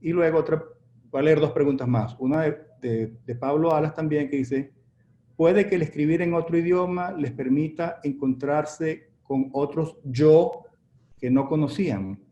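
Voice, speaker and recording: Guy moderating an online panel, mellow voice, stumbles and restarts a lot, dull echoey call audio. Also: fairly high pitch, measured pace. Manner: hesitant, many restarts. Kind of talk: moderating an online panel, reading audience questions. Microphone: video call.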